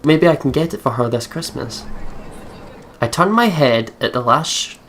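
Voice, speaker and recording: A man narrates a visual novel for a let's play, moving between story reading and offhand comments. His pitch is middling, and there is faint noise behind him. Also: lively intonation, normal speed, a bit bright. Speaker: let's player. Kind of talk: reading a visual novel aloud with casual asides.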